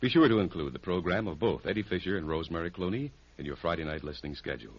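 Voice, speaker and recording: A man's voice: low-pitched, racing, husky, highs cut off. A male American network announcer reading a scripted promo in 1950s radio style. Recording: band-limited old radio recording.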